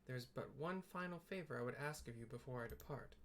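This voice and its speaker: A man voicing an earnest woman who leads a secret order in a fantasy game, speaking in measured, formal sentences. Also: mid-range voice, a little hiss or hum, close to an everyday voice. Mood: solemn, confiding.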